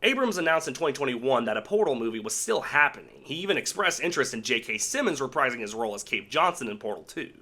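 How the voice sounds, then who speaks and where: A man's voice: mid-range voice, big pitch swings, fast, few pauses, pushed and bright. A man hosting an online video essay, giving sarcastic, irreverent commentary with casual swearing. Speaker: video host. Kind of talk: video essay commentary on cancelled game movies.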